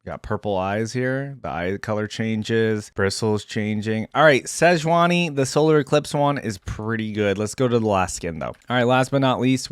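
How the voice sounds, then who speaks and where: A man's voice: on the low side, dry recording, clean studio sound. A man giving casual, off-the-cuff reaction commentary as a video host, rambling informally as he thinks aloud.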